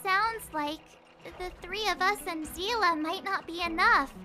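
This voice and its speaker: A woman's voice: very high-pitched, a little slow, exaggerated voice. A woman voicing a cheerful, slightly timid young girl adventurer in an anime-style video game, perky and girlish. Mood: worried. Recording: loud game music behind the voice.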